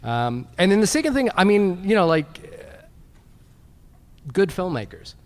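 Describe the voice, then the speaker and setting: Halting male panelist in a big echoey hall. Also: high voice, very animated, a little mellow. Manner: halting, repeats words as he thinks. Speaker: panelist on a film podcast. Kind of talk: live panel discussion, casual. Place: large convention panel room. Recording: echoey hall PA, live recording.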